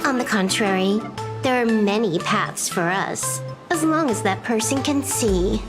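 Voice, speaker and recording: A woman's voice: mid-range voice, measured pace, natural voice. An actress playing a self-assured, teasing outlaw woman in a video game, with a sly, cool, taunting delivery. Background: background music.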